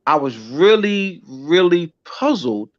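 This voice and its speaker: A man from the United States, a teacher and author, reads out a lesson outline and then chats casually, halting and repeating words. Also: a little high, sing-song, dry recording, muffled recording.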